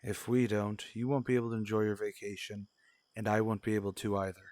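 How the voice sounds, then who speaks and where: A man playing a patient, concerned father in an old-time radio drama, gentle but firm. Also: fairly low pitch, little pitch movement, fast, no echo, lightly acted. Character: patient, concerned father talking to his young son.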